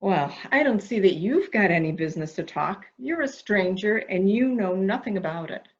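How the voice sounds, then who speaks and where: A woman's voice: medium pitch, lively intonation, moderate pace, natural voice. A woman playing a fretful, intense, protective member of a household in a melodramatic mystery reading, in slightly dull sound. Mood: agitated. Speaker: amateur reader, library staff. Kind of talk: dramatic reading of a murder mystery.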